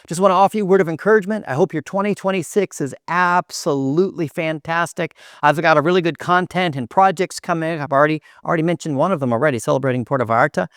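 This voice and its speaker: A cheerful man chatting to his channel with plenty of ums and uhs. His voice sits a little high and comes across smooth and resonant. The sound is dry and close, with almost no room echo. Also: fast, few pauses, a bit bright. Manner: upbeat, enthusiastic, chatty with fillers. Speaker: vlogger host. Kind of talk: casual chat to camera.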